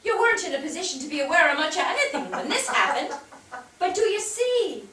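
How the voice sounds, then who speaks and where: A woman playing a sharp-tongued, scolding onlooker in a staged reading, with pointed, emphatic delivery. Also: extremely high pitch, very animated, fairly quick, heavily acted. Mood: scornful. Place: theatre stage, picked up from far off. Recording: echoey theatre, distant, noisy.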